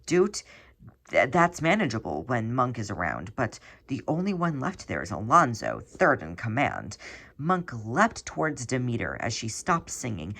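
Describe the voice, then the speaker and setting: A reader narrating a scripted story in an expressive, dramatic storytelling manner. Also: medium pitch, gravelly. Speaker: fan fiction reader.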